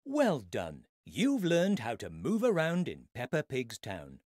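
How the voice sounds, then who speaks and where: A man's voice: mid-range voice, huge pitch swings, unhurried, no echo, clean studio sound. A man playing a friendly, encouraging narrator who guides young children through a cartoon game. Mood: welcoming. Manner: encouraging, simple explaining. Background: loud music.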